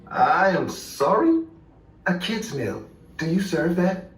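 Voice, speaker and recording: Man doing an awkward, high-pitched fast-food worker. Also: big pitch swings, far from the mic, exaggerated voice. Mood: awkward, polite. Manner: hesitant, stammering, courteous. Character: awkward, nervous, polite male fast-food drive-through worker. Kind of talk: dramatised horror story reading. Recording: echoey room, away from the mic.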